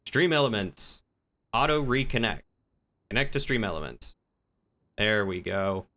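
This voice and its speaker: A man's voice: on the low side, sing-song, measured pace, pushed and bright. A man livestreaming a role-play game, chatting casually with viewers and explaining things in an informal, rambling way as he thinks aloud.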